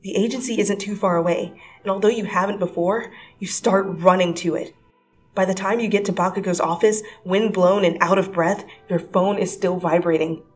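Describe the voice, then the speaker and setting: A woman giving a dramatic second-person story reading, swinging from hushed whispers to breathless, excited rises. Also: mid-range voice, moderate pace, faint music behind. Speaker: fanfiction reader.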